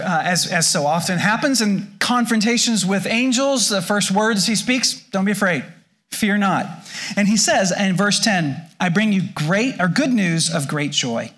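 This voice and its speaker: A man's voice: high voice, a bit bright. A pastor preaching a sermon to his church, explaining scripture with frequent restarts and repeated words.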